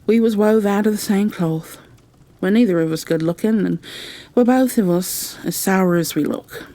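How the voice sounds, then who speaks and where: A woman's voice: medium pitch, normal speed, mellow, dry recording, close to an everyday voice. A woman voicing a surly, blunt old moorman gardener with a Yorkshire dialect in a storybook reading. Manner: gruff, blunt dialect speech.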